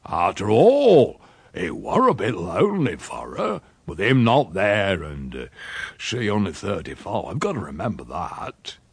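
Guy doing a crusty old country uncle, gravelly and husky, slow drawl, voice swoops all over, dry sound. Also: low-pitched, wildly swooping pitch, no echo, heavily acted. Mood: reminiscing. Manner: slow, chuckling drawl with dialect grammar. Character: sly old country uncle, boastful rustic yarn-spinner. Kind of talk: storybook reading.

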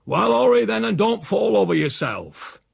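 Storybook old uncle: rural English, cantankerous, roguish, very husky, swooping wildly in pitch. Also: male voice, wildly swooping pitch, takes it slowly, no echo, studio quality, heavily acted. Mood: bossy. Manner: goading, blunt, dialect-speaking.

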